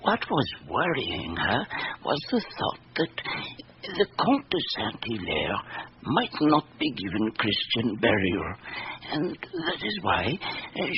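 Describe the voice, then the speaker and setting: An ancient priest with swooping intonation. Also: male voice, mid-range voice. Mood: earnest, hesitant. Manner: formal, hesitant, pedantic. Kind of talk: radio drama acting. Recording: muffled, noisy old radio recording.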